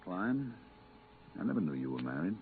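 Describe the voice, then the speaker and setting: Very low-voiced, stern veteran space pilot. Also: male voice, sing-song, moderate pace, creaky, full character voice. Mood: weary, guarded. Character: stern, weary veteran moon-base pilot, three years stranded. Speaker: radio drama actor. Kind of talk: 1950s radio drama acting. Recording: tinny, hissy 1950s radio recording.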